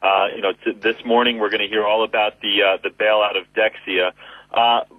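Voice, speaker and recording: A man's voice: lowish voice, little pitch movement, moderate pace, no room sound. A man giving market commentary as a guest analyst over the telephone. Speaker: wealth manager, guest analyst. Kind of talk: market commentary in a phone interview. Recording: narrow tinny phone line.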